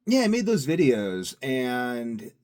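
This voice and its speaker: A young man talking casually to camera, wandering from thought to thought and swinging between loud bursts and mumbled asides. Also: mid-range voice, big pitch swings, slow pace. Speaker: vlogger. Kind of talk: casual rambling monologue.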